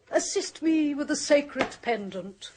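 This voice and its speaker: Imperious clairvoyant, radio play, high voice, slow theatrical delivery, impatient, bossy. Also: female voice, expressive, noisy background. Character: bossy, grand, impatient female clairvoyant medium. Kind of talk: British radio comedy-mystery acting.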